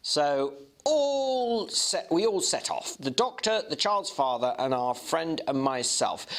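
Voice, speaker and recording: A man playing a worldly Victorian gentleman who recounts a shocking incident with vivid emphasis, in an audiobook. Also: on the high side, full character voice. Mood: indignant. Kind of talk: dramatic audiobook reading.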